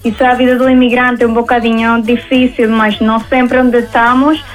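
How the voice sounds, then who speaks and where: Woman singer from venezuela chatting in an interview, highish voice, pretty even melody, grateful and keen. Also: brisk, soft background music. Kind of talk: conversational interview answers.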